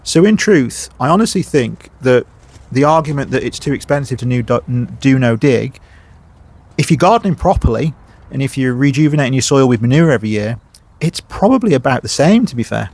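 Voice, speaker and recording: A man's voice: mid-range voice, lively intonation, brisk, a little mellow. Sniffly man chatting at his allotment. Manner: chatty, lots of uhs and ums. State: sniffly with a cold. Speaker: gardening channel host. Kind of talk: casual how-to vlog. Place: outdoor allotment by a main road.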